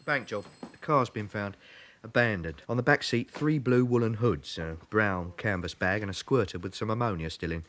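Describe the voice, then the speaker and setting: An actor playing a businesslike British police detective in a radio drama, reporting in terse, factual phrases. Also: male voice, lowish voice, moderate pace, fairly bright tone, close to an everyday voice. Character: brisk, businesslike London male police detective.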